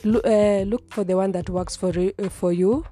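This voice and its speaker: Mellow-voiced young woman hosting a podcast. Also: medium pitch, fairly even intonation, normal speed, faint music behind. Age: 20s. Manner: chatty, rambling, frequent restarts. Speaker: podcast host. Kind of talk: casual solo podcast talk.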